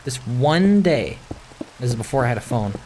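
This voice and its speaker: A man giving a casual, introspective monologue over gameplay, speaking in a halting, musing way and repeating phrases for emphasis. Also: medium pitch, big pitch swings, darkish tone, a little hiss or hum, poor audio. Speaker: host of a gaming video series.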